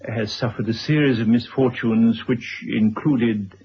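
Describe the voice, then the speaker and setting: An actor playing a courtly, kindly older gentleman in a radio drama, speaking formally and hesitantly. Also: medium pitch, steady tone, unhurried. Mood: grave, measured. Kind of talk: 1970s radio drama acting. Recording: dull old radio recording, clear noise.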